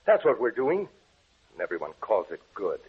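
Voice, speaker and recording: An actor playing a troubled young research scientist in a 1940s radio drama, speaking in a tense, earnest, brooding way. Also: male voice, lowish voice, huge pitch swings, moderate pace, a little hiss or hum. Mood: grim. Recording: tinny old radio broadcast.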